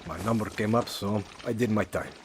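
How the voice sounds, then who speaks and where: An actor playing a blunt ex-military guerrilla recruit who speaks in short, dry lines in a video game. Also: male voice, fairly low pitch, brisk, a little hiss or hum, natural voice. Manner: terse, dry questions. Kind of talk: video game cutscene acting. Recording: game audio with music under.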